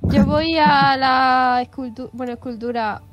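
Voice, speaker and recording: A woman's voice: sits high, steady tone, unhurried, lo-fi sound, faint music behind. A woman playing a tabletop game, casual and easygoing, often asking questions. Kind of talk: casual chat.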